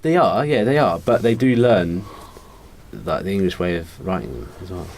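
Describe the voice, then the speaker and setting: A man's voice: deep voice, animated, normal speed, darkish tone, slight background noise. A man co-hosting a podcast, chatting casually as he explains and debates things. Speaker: podcast co-host.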